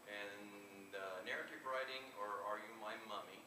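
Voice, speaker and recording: A man with a fairly low voice gives an informal talk as an author at a live reading. His delivery is halting and hesitant. He is heard from a distance in an echoey room. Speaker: author presenting own book. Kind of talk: informal live book presentation. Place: convention room, live event. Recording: echoey, noisy room from far off.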